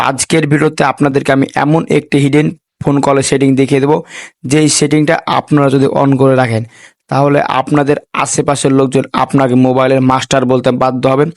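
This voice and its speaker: A man's voice: medium pitch, no room sound, studio quality. A man hosting a tech tutorial voice-over, speaking in repetitive set phrases sprinkled with English words. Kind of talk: instructional voice-over addressing viewers.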